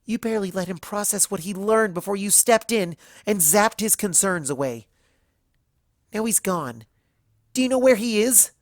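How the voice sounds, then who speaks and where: A narrator voicing an indignant young female unicorn student in a story, sardonic and accusing, with sharp, cutting questions. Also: mid-range voice, lively intonation, normal speed, no room sound, studio quality, voice pushed up, natural voice. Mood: shouting, indignant.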